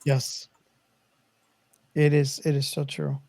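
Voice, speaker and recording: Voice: medium pitch, flat delivery, slow pace, mellow, no room sound. A podcast co-host casually discussing a film, hesitant and often searching for words.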